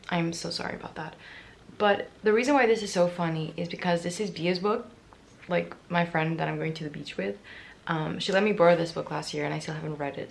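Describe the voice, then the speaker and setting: A young woman vlogging casually about books, her voice raspy and hoarse from yelling, chatty and self-mocking, with little stumbles and repeated words. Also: fairly low pitch, slight background noise. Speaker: book vlogger. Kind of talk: casual vlog chat.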